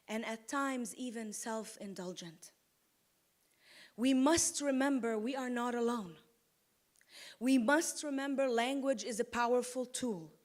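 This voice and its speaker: A woman from Lebanon giving a slow, deliberate, impassioned award acceptance speech at a podium microphone on an auditorium stage. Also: fairly high pitch, a little mellow. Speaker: award-winning poet.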